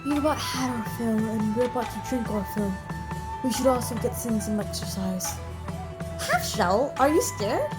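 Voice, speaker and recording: Woman voicing brash teasing companion, curious, pushy, somewhat high voice, game story, loud music. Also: very animated, moderate pace. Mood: teasing, bold. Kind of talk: hobby read-aloud of a game story.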